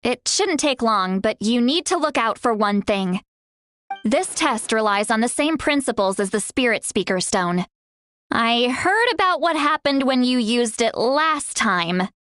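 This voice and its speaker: A spirited veteran chief in a video game, her voice fairly high and assured. She is caring yet commanding, with a measured, motherly delivery. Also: lively intonation, a bit bright. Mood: grave. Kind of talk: English video game voice acting.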